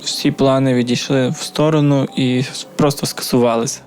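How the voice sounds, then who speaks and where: A man from Ukraine, a musician answering interview questions in flat, unhurried speech with small hesitations. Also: mid-range voice, faint music behind.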